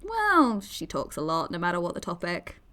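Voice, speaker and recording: A woman's voice: fairly low pitch, wildly swooping pitch, moderate pace, pushed and bright, full character voice. An actress playing a supportive, chatty young woman in a naturalistic audio drama, speaking informally and reassuringly. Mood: casual, sympathetic. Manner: informal, reassuring, hesitant phrasing.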